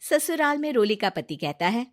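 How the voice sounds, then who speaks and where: A woman with a fairly high voice serves as the story narrator. She delivers scripted narration for an emotional family cartoon, telling it as a storyteller would. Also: sing-song, normal speed.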